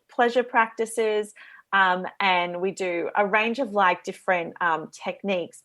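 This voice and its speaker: A woman's voice: medium pitch, a bit bright. A woman with an Australian accent, a guest coach speaking earnestly and eagerly in a radio interview.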